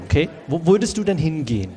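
High lively male voice, man improvising a chatty show host, reverberant noisy hall. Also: fast. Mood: chatty, teasing. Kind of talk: improvised comedy audio play. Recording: echoey hall with background noise.

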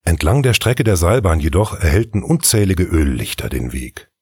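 Male fairy tale narrator, husky and expressive, recorded very dry and close. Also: deep voice, normal speed, no room sound. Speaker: storyteller, narrator.

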